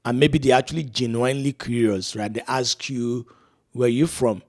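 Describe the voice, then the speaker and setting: A man's voice: mid-range voice, normal speed, a little mellow. A middle-aged man from Africa hosting a casual discussion, talking reflectively and tagging lines with "right?" and "you know". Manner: reflective, frequent "right?" and "you know" asides, laughs while telling stories. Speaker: podcast host.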